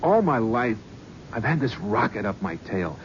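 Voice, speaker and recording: A bitter, haunted talent scout. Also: male voice, on the low side, sing-song, unhurried. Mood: restless. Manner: bitter, restless, sardonic. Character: driven, haunted male talent scout, middle-aged. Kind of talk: 1970s radio drama acting. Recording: dull old radio broadcast with faint hiss.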